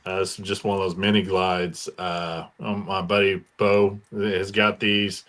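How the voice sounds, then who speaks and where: American male podcast panelist, lower-pitched with a bright, forward edge, informal and keen. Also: flat delivery, measured pace. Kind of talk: casual chat about fishing gear.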